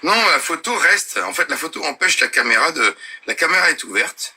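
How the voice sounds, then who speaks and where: A man on an online call debate, talking lively while fumbling with his camera settings. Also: fairly high pitch, brisk, slight background noise. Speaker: debate participant.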